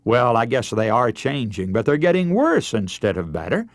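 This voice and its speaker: Animated male radio preacher. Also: mid-range voice, moderate pace, no echo. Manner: earnest, emphatic, oratorical. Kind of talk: radio sermon with scripture readings.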